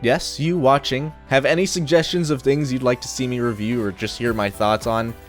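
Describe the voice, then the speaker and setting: A man's voice: medium pitch, fairly even intonation, moderate pace, soft background music. A young adult man reading a scripted review in a casual, opinionated, sardonic way. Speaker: video reviewer.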